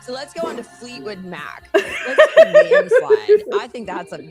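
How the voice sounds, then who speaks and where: Raspy Southern woman with music behind. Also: high voice, huge pitch swings, moderate pace, darkish tone. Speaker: streamer in a played clip. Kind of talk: karaoke singing and chatting to viewers. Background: music playing.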